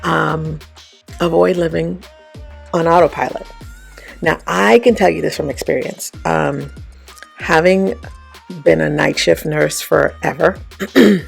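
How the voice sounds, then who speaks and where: Raspy husky woman talking self-help straight to camera, keeps going "okay? right?". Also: deep voice, slow, pauses often, faint music behind. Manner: chatty, frequent "okay?" and "right?" check-ins. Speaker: vlogger and life coach. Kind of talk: casual motivational talk to camera.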